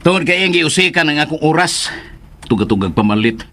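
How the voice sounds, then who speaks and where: Arrogant store owner, wildly swinging pitch. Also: male voice, expressive, a little fast, bright, forceful tone. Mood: angry, indignant. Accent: Filipino. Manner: haughty, confrontational, exclamatory. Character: arrogant, strict, hot-tempered male store owner. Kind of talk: Filipino radio drama acting.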